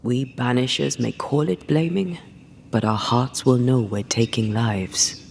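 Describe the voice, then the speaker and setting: An actress playing a solemn ghost woman, a slain ghost-hunter, in a video game cutscene, speaking gravely and often dropping to a hush. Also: very deep voice, a little slow, slight background noise, exaggerated voice. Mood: sorrowful, resolved.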